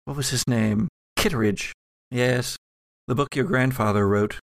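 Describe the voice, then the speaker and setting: A man's voice: medium pitch, unhurried, creaky, no echo, clean studio sound. A man voicing an ancient female spirit made of ectoplasm in an audio drama game, musing evasively and searching for words. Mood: musing, uncertain.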